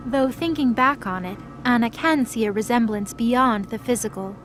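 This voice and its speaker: A woman narrating a scripted audio drama as a storyteller. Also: fairly high pitch. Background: music.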